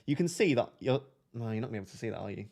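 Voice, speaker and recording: A young man hosting a live stream, chatting casually with his viewers in a flat, monotone, self-deprecating way. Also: mid-range voice, very fast, gravelly. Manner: self-deprecating, chatty, reads out chat messages. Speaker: livestream host.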